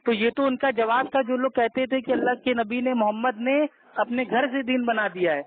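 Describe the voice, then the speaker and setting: Male debater, strikingly high pitch, pushy and repetitive in argument, heard down a narrow telephone line. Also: fairly even intonation, moderate pace, slight background noise. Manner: insistent, argumentative, repeats himself. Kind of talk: heated religious debate on a call. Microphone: phone call. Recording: tinny phone conference line.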